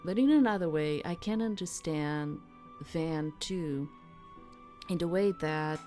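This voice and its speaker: Low-voiced woman rambling through game commentary. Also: takes it slowly, dark tone, faint music behind. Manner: rambling, hesitant, with frequent "like". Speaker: game streamer, let's player. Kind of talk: casual gameplay commentary, thinking aloud.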